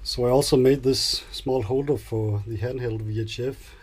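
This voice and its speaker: A man's voice: mid-range voice, steady tone, normal speed, a little mellow, husky. A middle-aged man casually explaining his boat repairs as he works, in a plain, slightly hesitant way. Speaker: DIY sailboat vlogger. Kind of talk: casual narration while doing boat repairs. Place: aboard a small boat, cabin and cockpit.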